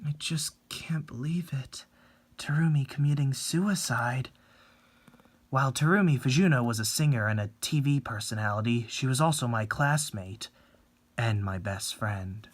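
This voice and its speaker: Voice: medium pitch, normal speed, lightly acted. A performer voicing a frightened teenage schoolgirl who narrates a horror manga, shaken and protective of friends. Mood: stunned. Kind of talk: dramatic reading of a horror manga.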